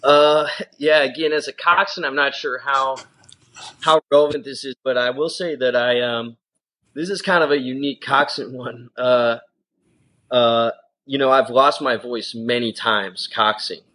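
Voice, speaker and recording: A man's voice: mid-range voice, steady tone, a little slow, bright, forceful tone, rough recording. A man from the United States, a coxswain and coach, answering interview questions in a thoughtful, casual way with lots of "you know" and "um". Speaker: rowing guest, coxswain and coach.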